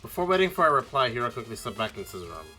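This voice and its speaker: A man's voice: medium pitch, sing-song, very fast, bright, forceful tone, faint music behind. A man voicing an earnest, suspicious young detective, questioning and narrating in an amateur video game dub. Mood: wary, narrating. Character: earnest, suspicious teenage boy detective narrating his investigation.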